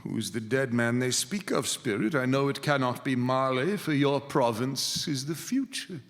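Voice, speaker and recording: An actor playing a frightened, repentant old miser, solemn and pleading, in live radio theater. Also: male voice, medium pitch, expressive, lightly acted. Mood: wary, puzzled. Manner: slow, solemn, pleading delivery. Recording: hall reverb, loud music behind.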